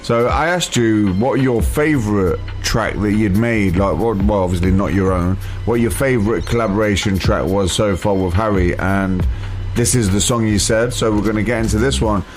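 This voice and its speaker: A man's voice: lowish voice, normal speed, hardly any pauses. A British man hosting a casual music radio interview, speaking in an informal, slangy way. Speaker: radio show host and interviewer.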